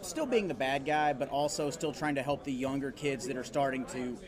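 A man's voice: mid-range voice, brisk. A man in his thirties hosting a casual podcast interview at a busy convention, readily affirming his guest. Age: 30s. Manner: affirming, repeats agreement words. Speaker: podcast host. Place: crowded convention venue. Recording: busy convention crowd behind voice.

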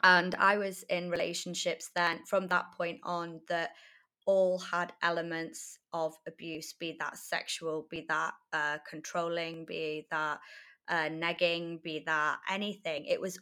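Reflective woman with a lowish voice. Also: level tone, unhurried, sharp tone. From North Wales. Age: late 20s. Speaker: interview guest, article writer. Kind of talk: candid conversational interview answers.

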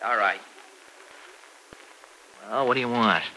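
A man's voice: mid-range voice, takes it slowly, sharp tone, rough recording. An actor playing an irritable young husband in a 1950s radio soap, evasive and short with his wife.

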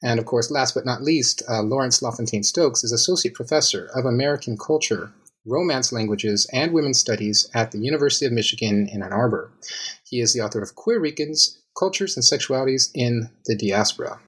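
A man's voice: medium pitch, fairly quick, fairly bright tone, slightly rough. A man hosting an academic podcast, reading formal guest introductions and then talking with frequent "uh" fillers. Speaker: podcast host.

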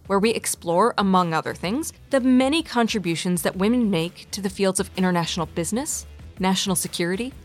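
A woman reading a polished, scripted introduction to her podcast. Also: mid-range voice. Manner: polished, announcer-like read. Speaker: podcast host. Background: faint music.

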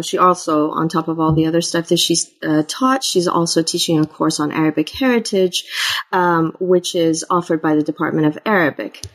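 A woman hosting a book interview, introducing her guest and asking friendly questions with many ums. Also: lowish voice. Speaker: podcast host.

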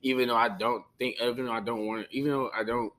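A young man from New Orleans, speaking with a faint New Orleans Creole lilt in a relaxed podcast chat. He rambles loosely, circling back with frequent restarts and fillers. His voice sits at an ordinary pitch for a man. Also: quick pace, rough recording. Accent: light New Orleans Creole. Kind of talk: casual conversation.

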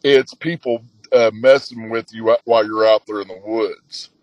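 Halting man with a booming voice. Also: steady tone, no echo, poor audio. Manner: halting, many fillers and restarts. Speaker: guest, expedition leader. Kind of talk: casual storytelling.

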